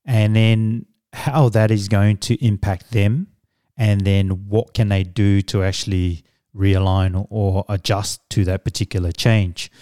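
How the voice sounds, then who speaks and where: A middle-aged man, a native Samoan speaker, answering interview questions in a measured, reflective way as a business coach. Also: on the low side, darkish tone, no echo, clean studio sound. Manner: measured, reflective, with fillers and restarts.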